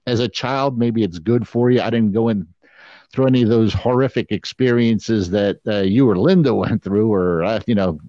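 A man's voice: fairly low pitch, moderate pace, warm tone, no room sound, muffled recording. A man co-hosting a podcast, chatting casually and telling stories with frequent chuckles. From Philadelphia area, USA. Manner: laid-back storytelling, chuckles often. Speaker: podcast co-host. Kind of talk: casual chat.

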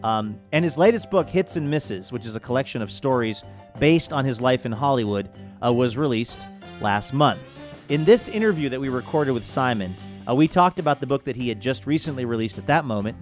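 A man hosting a radio show, talking in a conversational, enthusiastic way with wry asides. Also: mid-range voice. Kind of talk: upbeat show promo and interview talk.

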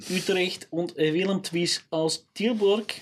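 A man's voice: high voice, fairly even intonation, a little slow, a little hiss or hum, pitched above the performer's own voice. A man faking a Dutch footballer. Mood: mock earnest. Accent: put-on Dutch. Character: Dutch male footballer, earnest central defender.

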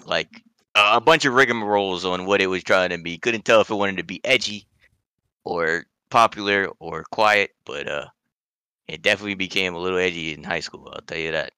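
Young man, bright smooth voice, offhand. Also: low voice, brisk, no echo. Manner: profane, offhand storytelling. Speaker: podcast co-host. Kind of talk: casual chat over a voice call. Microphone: headset or desk mic on a voice call.

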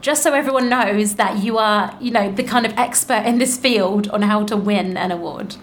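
A woman's voice: mid-range voice, normal speed. A woman hosting a podcast interview in a chatty, informal, effusive way. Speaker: podcast host. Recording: clearly noisy background.

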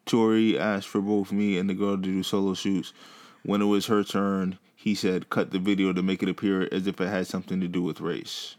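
A man co-hosting a casual gossip chat, calm, reading quotes aloud and teasing dryly. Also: deep voice, moderate pace. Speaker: podcast co-host.

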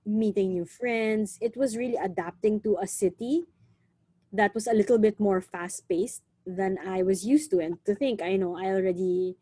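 A young woman from the Philippines with a Filipino accent, chatting casually and lively, often saying "you know" and slipping in Tagalog words. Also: medium pitch, moderate pace, breathy edge, no room sound. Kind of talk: casual conversation.

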